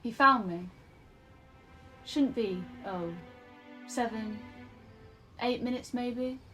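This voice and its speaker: An actress playing an eerily calm, taunting young woman in an audio drama, with a measured, needling manner. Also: a little high. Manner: measured, cryptic, needling questions. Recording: music behind.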